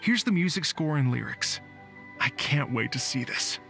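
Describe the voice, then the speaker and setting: A man's voice: a little high, expressive, moderate pace, creaky, music in the background. A man playing an upbeat, easygoing rock musician with a showman's manner in a video game. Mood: amused.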